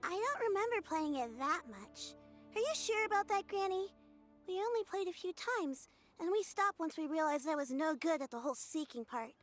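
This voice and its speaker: An earnest, devoted young girl from a video game. Her voice is extremely high, sweet and candid, with music in the background. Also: lively intonation, normal speed. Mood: doubtful. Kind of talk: scripted video game dialogue.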